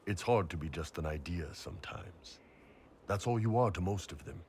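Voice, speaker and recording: An actor playing a blunt, easygoing mercenary captain in a fantasy video game, with casual phrasing. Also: male voice, deep voice, moderate pace, gravelly, darkish tone, a little hiss or hum. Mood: blunt, serious. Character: gruff, blunt, genial male mercenary captain. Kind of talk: video game dramatic dialogue.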